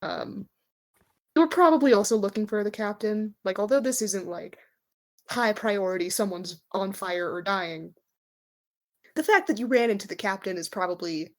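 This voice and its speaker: A woman's voice: medium pitch, expressive, moderate pace. A woman running a tabletop game as game master, narrating in an informal, easygoing way.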